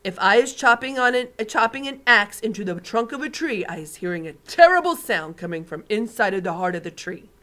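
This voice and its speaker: A big, kindly male giant from a storybook, put on by a woman reading aloud. He talks loud and earnest in a mid-pitched voice, bending his grammar as he goes. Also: lively intonation, brisk, hardly any pauses.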